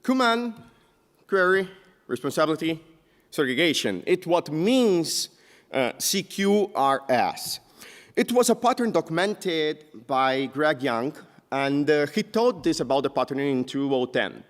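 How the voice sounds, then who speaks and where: A man from Brazil with a Brazilian Portuguese accent presents a technical talk on a conference stage. He speaks in a slightly high voice, stops often on filler sounds, and jumps up in pitch to stress words. The sound carries the long echo of a large hall. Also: animated, unhurried.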